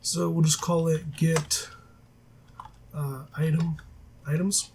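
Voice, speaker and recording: Man, raspy from a cold, flat monotone, casual coding tutorial, faint typing behind. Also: fairly high pitch, slight background noise. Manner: relaxed, many filler ums and self-corrections. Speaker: programming tutor. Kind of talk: screen-recorded coding tutorial. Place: at a desk, voice-over of screen capture. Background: faint keyboard typing.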